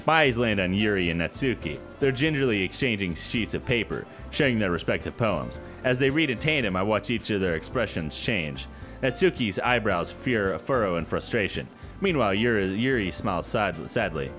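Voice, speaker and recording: Lively low-pitched man reading a visual novel. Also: normal speed. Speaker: gaming video host. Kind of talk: reading visual novel aloud with casual commentary. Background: soft background music.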